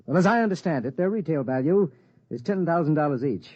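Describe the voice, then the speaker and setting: Federal taxman: formal, officious, measured, in a roomy, dull vintage radio drama recording. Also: a little high, lively intonation, darkish tone. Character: formal, officious male federal tax agent. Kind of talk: mid-century radio drama acting.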